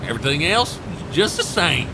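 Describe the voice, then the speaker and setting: A curt, folksy boatman, voiced by an actor, with a high, lively voice for a man. He is blunt and gruff in manner. Engine rumble runs clearly behind him in this audio drama. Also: brisk.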